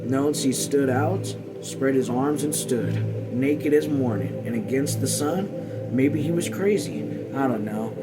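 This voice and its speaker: Male read-along narrator, mid-pitched, halting delivery with folksy storytelling, loud music bed behind. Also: low-quality recording. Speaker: host reading a novel aloud.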